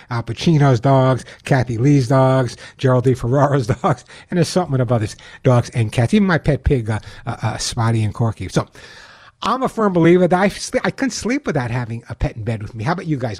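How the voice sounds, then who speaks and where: Older guy from long island hosting a pet radio show, chatty, a bit high-pitched, dry studio sound. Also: darkish tone, no room sound. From Long Island, New York. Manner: chatty, repeats phone number slowly, laughs at own jokes. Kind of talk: call-in radio talk.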